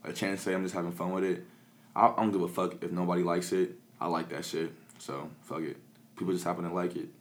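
A man's voice: deep voice, flat delivery, quick pace. A young man from Peoria who raps and produces, talking in a laid-back, mumbly way with lots of fillers and swearing. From Peoria, Illinois. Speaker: rapper and producer. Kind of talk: casual interview chat.

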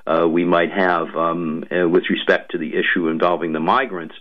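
A man, a lawmaker guest on a radio show, speaking slowly with many ums and uhs. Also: low voice, steady tone. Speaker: state legislator guest. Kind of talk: radio interview, policy talk. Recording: muffled lo-fi radio audio.

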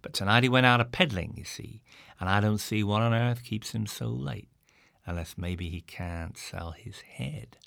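A man voicing a wry older male innkeeper who talks in a folksy, rustic drawl, often low and hushed. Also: normal speed, vocal fry, no room sound. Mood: teasing. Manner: rustic drawl with dropped words.

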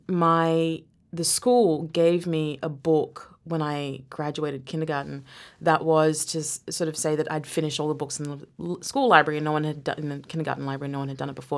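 A woman who grew up in Zambia talks as a guest in a casual interview. Her voice sits low and has a gravelly fry. She thinks aloud, restarts her sentences and laughs easily. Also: level tone, brisk. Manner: reflective, many restarts and laughs.

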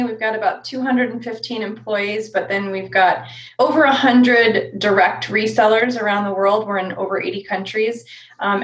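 A woman CEO introducing herself on a video call panel in a brisk, businesslike way. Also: medium pitch, a bit bright, low-quality recording. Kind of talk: unscripted self-introduction.

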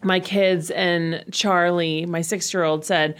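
A woman's voice: lowish voice, steady tone, slow pace, clear, resonant tone. Chatty woman hosting a business show. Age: 40s. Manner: chatty, laughs often, fillers. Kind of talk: casual interview chat.

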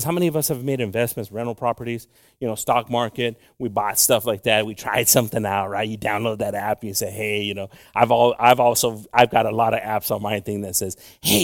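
A man's voice: on the low side, no room sound, studio quality. A Mexican American man preaching a sermon to his congregation, moving between English and Spanish and joking along the way. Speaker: pastor. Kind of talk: bilingual sermon.

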